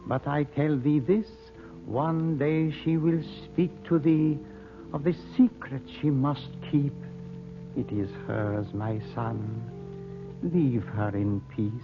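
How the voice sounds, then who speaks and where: An actor playing an ancient, wise spiritual teacher in an old radio drama, speaking in archaic, ceremonious phrases. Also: male voice, fairly high pitch, dull audio. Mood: mystical, grave. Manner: archaic, ceremonious, measured speech. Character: ancient wise mystic teacher, solemn and commanding. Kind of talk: 1930s-40s radio drama acting. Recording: band-limited old radio recording with music.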